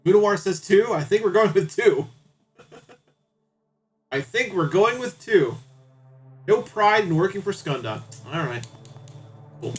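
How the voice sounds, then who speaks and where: Casting: male game streamer, chatty and playful, pitch a little above average, casual banter with viewers. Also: animated, slow pace, pauses often, faint music behind. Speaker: live streamer.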